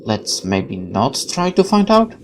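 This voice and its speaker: A man's voice: medium pitch, huge pitch swings, takes it slowly, a little hiss or hum. A man playing a game for viewers, reading visual novel narration aloud like a dramatic storyteller and adding musing commentary. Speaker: let's player.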